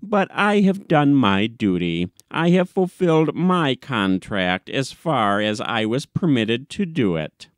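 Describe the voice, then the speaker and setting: Male narrator as self-righteous ranting newspaperman, pompous, rhetorical, measured pace, dry close audiobook sound. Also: medium pitch, very animated, no room sound. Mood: wounded dignity. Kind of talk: dramatic audiobook reading of classic comic short story.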